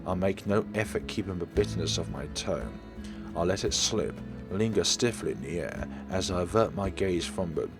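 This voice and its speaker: A man's voice: deep voice, expressive, normal speed, over music. A man narrating a visual novel aloud in a dramatic first-person style, now and then stumbling over words. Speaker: let's play host reading a visual novel aloud.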